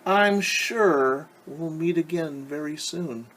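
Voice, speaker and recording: A man voicing a sly, smooth-talking hungry wolf who speaks slowly and with oily politeness. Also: on the high side, a bit bright, slight background noise, voice pushed up, rough recording. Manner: slow, oily and menacingly polite.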